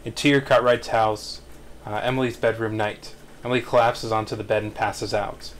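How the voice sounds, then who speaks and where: A man's voice: on the low side, flat delivery, normal speed. A man reading stage directions as narrator at a script table read. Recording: faint background noise.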